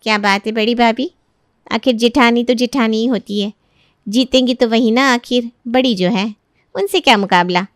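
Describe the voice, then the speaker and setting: A woman's voice: on the high side, moderate pace, no echo, studio quality, natural voice. A woman voicing a cocky young female college senior who taunts and bosses, acted for a moral story. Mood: taunting. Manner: taunting, bossy. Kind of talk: Hindi moral story character acting.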